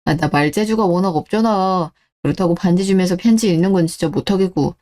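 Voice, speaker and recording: A woman playing a devoted, plain-spoken young boyfriend in a radio drama, with a gentle, matter-of-fact manner. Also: lowish voice, a little fast, close to an everyday voice. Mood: sheepish. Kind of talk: Korean radio drama dialogue.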